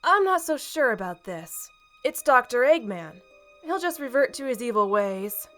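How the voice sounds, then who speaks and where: A woman's voice: on the high side, very animated, normal speed, fairly bright tone, soft background music. A woman playing a frank, exasperated but caring female bat in an audio drama, emphatic and reproachful. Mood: doubtful.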